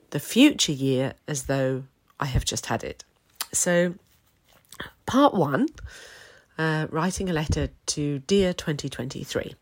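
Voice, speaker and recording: Low-voiced croaky woman. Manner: warm, gentle, reflective, with many fillers. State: croaky voice, recovering from illness. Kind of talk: casual talk to camera.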